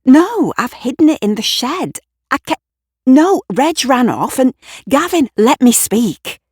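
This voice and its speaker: A woman voicing a brisk, bossy but caring female neighbour in an audio drama, insistent and reassuring. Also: sits high, big pitch swings, a little mellow, slightly rough, dry recording, studio quality, pitched above the performer's own voice, full character voice. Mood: flustered, bossy.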